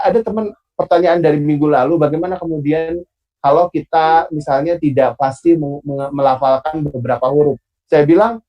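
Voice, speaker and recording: A man with a Sundanese accent hosting an online public speaking class, relaxed and pausing often with fillers. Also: fairly high pitch, lo-fi sound. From Bandung, Indonesia. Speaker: host and moderator.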